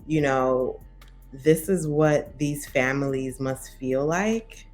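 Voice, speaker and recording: A woman in her thirties reflects in an interview, picking her words with many pauses. Her voice sits low and her pace is unhurried. Soft music plays faintly beneath her. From Brooklyn, by way of Saint Vincent. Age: 30s. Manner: thoughtful, with many pauses and fillers.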